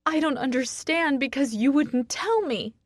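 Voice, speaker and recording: A woman's voice: fairly low pitch, measured pace, warm tone, breathy edge, no echo, studio quality. A woman voicing a heartbroken, defiant, fiery woman with raw emotion, as a character in a romance audiobook. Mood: anguished, screeching.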